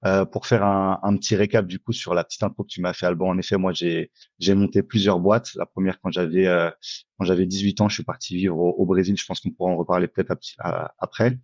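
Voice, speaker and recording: Man, twenties, low voice, casual interview guest, dry slightly dull audio. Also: level tone, a little fast, a little mellow, breathy edge, no room sound. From Paris, France. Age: 20s. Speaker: podcast guest, entrepreneur. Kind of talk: casual interview self-introduction.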